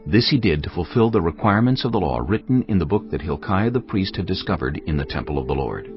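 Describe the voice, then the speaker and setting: A man narrating scripture in a dramatized audio Bible with grave, expressive delivery. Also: low-pitched. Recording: dull lo-fi with music underneath.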